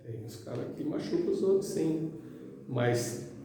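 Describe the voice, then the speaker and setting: A man's voice: on the high side, lively intonation, measured pace, vocal fry, mellow, slight background noise. Brazilian man preaching in a very echoey hall. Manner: conversational, colloquial, acts out imagined objections. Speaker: preacher giving a spiritist talk. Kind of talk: informal motivational sermon to an audience. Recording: very echoey hall, distant microphone.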